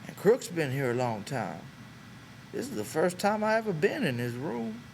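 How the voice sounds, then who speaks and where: Male reader as wistful old farm laborer, American, folksy, high lively voice, storybook drama, noisy recording. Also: moderate pace, vocal fry. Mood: shy. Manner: earnest, folksy rural talk. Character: old one-handed ranch swamper, wistful and stubborn. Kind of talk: dramatic audiobook character voice.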